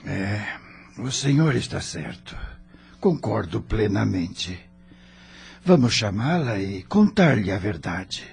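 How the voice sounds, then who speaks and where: A male actor as a middle-aged Brazilian father in an audio drama, earnest and troubled. The voice is deep and moves expressively through formal, respectful phrases. Soft music plays beneath it. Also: big pitch swings, takes it slowly, vocal fry, full character voice. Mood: polite, resolved. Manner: formal, polite, emotive phrasing.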